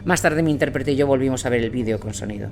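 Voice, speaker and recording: Man acting as male war reporter, mid-pitched voice, measured solemn narration, grave storytelling, audio drama, music underneath. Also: vocal fry, lightly acted. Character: male war reporter recounting grim events in Iraq. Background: music bed.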